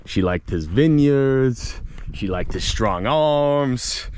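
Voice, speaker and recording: A man narrating a casual walking travel vlog, telling local tales in a playful, theatrical way with dramatic pauses. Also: medium pitch, lively intonation, a little mellow, slight background noise. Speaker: vlog host and storyteller. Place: outdoors, walking in a hilly lakeside village and vineyards.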